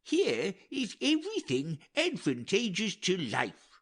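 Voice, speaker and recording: An aged, optimistic counsellor of a Shakespearean court. The voice is raised and husky, swinging widely in pitch at a slow pace. He speaks in earnest, wordy periods. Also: huge pitch swings, a bit bright, exaggerated voice. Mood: marvelling. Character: talkative, optimistic old male royal counsellor. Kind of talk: dramatic Shakespeare audiobook reading.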